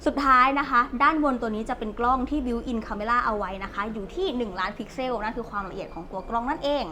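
A woman's voice: a little high, quick pace, background noise. A young woman politely explaining a product as she unboxes it for a review. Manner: polite, explanatory, steady walk-through. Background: faint background music.

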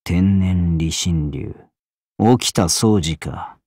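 A man voicing a surly, boastful swordsman assassin in a game, with rough, sneering Tosa-dialect speech. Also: sits low, extremely slow, darkish tone, no echo, studio quality, exaggerated voice. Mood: scornful. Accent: Tosa dialect of Japanese. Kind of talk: game character voice lines.

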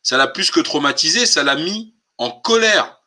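A man's voice: a little high, expressive, normal speed, bright, forceful tone. A man hosting a political live stream, talking colloquially and insistently, with emphatic rhetorical questions. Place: online group call.

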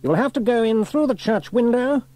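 A man voicing a hearty, commanding fire station officer in a children's storybook, with crisp, authoritative delivery. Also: extremely high pitch, animated, moderate pace, a little mellow, pitched above the performer's own voice.

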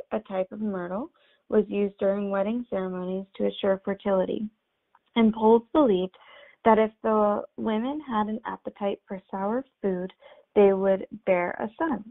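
A woman's voice: medium pitch, creaky. A young woman, a midwifery student, giving an earnest recorded class presentation with frequent ums. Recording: tinny phone-like audio.

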